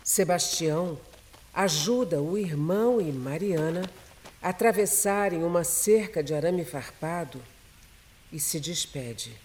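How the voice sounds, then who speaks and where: A woman's voice: fairly low pitch, animated, measured pace, a little mellow, slight background noise. A woman with a Brazilian accent reading scripted, dramatic narration for a radio serial.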